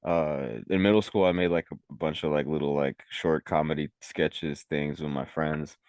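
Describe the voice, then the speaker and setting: Very deep-voiced laid-back young man. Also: fairly even intonation, normal speed, a little mellow, no room sound, studio quality. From Venezuela. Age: young adult. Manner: laid-back, rambling, heavy on "like" and slang. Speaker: rapper and recording engineer. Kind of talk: casual interview chat.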